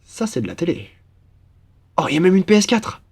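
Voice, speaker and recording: A man's voice: high voice, big pitch swings, a little fast, vocal fry, husky, pitched above the performer's own voice, heavily acted. A young man playing an upbeat, cheeky student roommate in a French roleplay, with a playful, slangy, enthusiastic manner. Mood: casual, friendly. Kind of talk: casual French roleplay acting.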